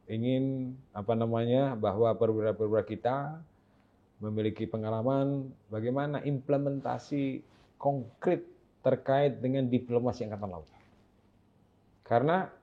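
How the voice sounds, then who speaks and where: A middle-aged man, a senior naval officer, giving formal, halting interview answers with frequent restarts. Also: medium pitch. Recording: dull lo-fi sound.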